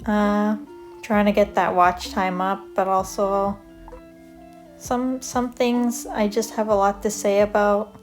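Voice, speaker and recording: Slow-talking young woman chatting casually. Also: mid-range voice, little pitch movement. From Canada. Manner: rambling, many fillers and self-corrections. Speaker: art vlogger. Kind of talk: casual chat over a draw-with-me video. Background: soft guitar music.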